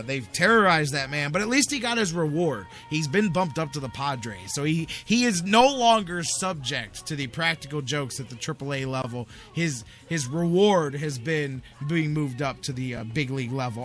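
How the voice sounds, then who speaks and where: A man with a higher-pitched, bright and punchy American voice hosts a sports talk show. He speaks casually and slangily, hammering phrases by repeating them for emphasis. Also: lively intonation, moderate pace, hardly any pauses, soft background music. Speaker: sports talk radio host.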